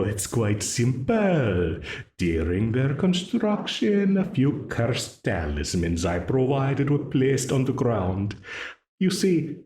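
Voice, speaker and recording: Brief: male actor as a smug, menacing demon in an audio roleplay, very slow, swooping pitch, roomy echo. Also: warm tone. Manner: slow, taunting, with frequent laughs.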